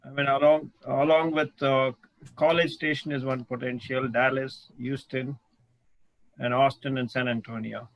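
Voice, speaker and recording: Man, video call, halting, restarts a lot, dry close sound, mid pitch. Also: flat delivery, gravelly, no echo, low-quality recording. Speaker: real estate investor. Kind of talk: casual video call introduction.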